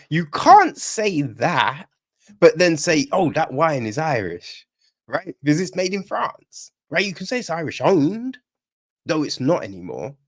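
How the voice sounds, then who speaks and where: A man giving a casual podcast film review, rambling and opinionated, with constant tag questions. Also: on the high side. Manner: rambling, frequent tag questions like "right?" and "you know"; opinionated and irritated.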